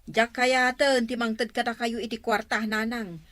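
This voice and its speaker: A woman's voice: a little high, fairly even intonation, unhurried, fairly bright tone, no room sound, studio quality. An actress playing an adult daughter who scolds her mother in an exasperated way. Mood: scolding.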